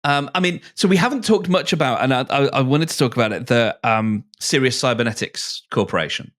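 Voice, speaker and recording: A man's voice: mid-range voice, expressive, fairly quick, a bit bright. A man talking casually as a podcast panelist, hesitantly searching for his words. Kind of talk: casual discussion.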